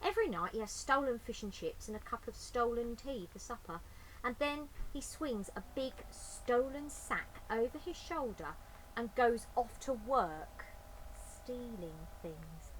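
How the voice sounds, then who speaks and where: A woman's voice: a little high, lively intonation, measured pace, fairly bright tone, poor audio. A woman speaking informally and expressively as a storyteller for children, recorded inside a greenhouse. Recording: clear ambient background noise.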